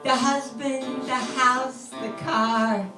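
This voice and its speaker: A woman poet performs live in a lounge, drawing out each line in a slow, theatrical, half-sung way. She sounds distant and roomy through the venue, with clear guitar underneath. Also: high-pitched, steady tone. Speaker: spoken word poet. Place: lounge bar stage.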